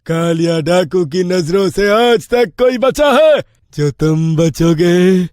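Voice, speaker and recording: Slow, swaggering bandit chief played by a man. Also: sits high, lively intonation, darkish tone, no echo, clean studio sound. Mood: taunting. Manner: taunting, drawn-out, domineering delivery. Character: menacing, swaggering male bandit chief, later a ghost. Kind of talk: Hindi cartoon horror story acting.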